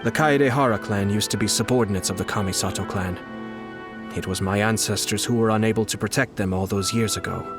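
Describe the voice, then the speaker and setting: A man playing a composed, calculating young nobleman in a video game, speaking in a measured, formal, deliberate way. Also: on the low side, natural voice. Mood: solemn, resolute. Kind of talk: video game character dialogue. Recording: music behind the voice.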